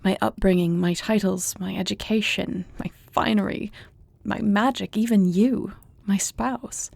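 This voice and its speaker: A woman playing a thoughtful, devoted warrior princess in an intimate fantasy audio roleplay, speaking in formal, measured, eloquent phrases. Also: mid-range voice, fairly even intonation, vocal fry, darkish tone, no echo. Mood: soft, wistful.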